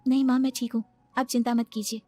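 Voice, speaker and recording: Woman voicing a high-pitched, earnest young daughter. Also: level tone, fast, over music, natural voice. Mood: meek, polite. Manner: earnest, pleading. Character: devoted, tearful young daughter and new bride. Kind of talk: dramatized cartoon moral story.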